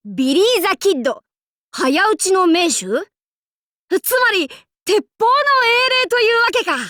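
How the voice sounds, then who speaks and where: A woman's voice: very high voice, very animated, a little slow, fairly bright tone, no room sound, clean studio sound, heavily acted. A woman voicing a bold, androgynous young demon-king warlord in an anime game, with boastful, archaic lordly speech and playful swagger. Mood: cheerful, boisterous. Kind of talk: anime game character voice lines.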